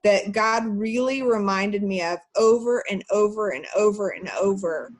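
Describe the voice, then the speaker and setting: A woman's voice: mid-range voice, unhurried. A woman, teaching an online church class through earnest personal stories. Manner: earnest, personal storytelling with soft laughs.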